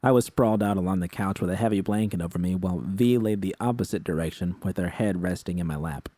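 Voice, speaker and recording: A man's voice: low-pitched, fast talker, mellow, dry recording. A man reading scripted first-person fiction as an audiobook narrator.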